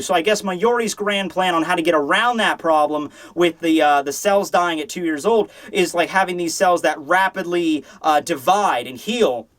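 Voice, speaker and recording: A man hosting a manga review, talking in a casual, opinionated, rambling and animated way with casual swearing. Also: high voice. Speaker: host of a manga review show.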